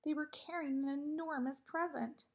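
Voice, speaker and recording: A woman reads a children's story aloud in the manner of a schoolteacher. Her voice is high and her narration expressive. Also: moderate pace. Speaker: teacher reading aloud.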